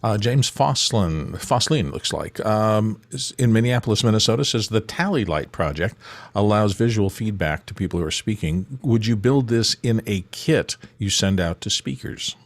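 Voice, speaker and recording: A man's voice: lowish voice, lively intonation, moderate pace, no echo, clean studio sound. A man on a live panel show who reads out audience questions.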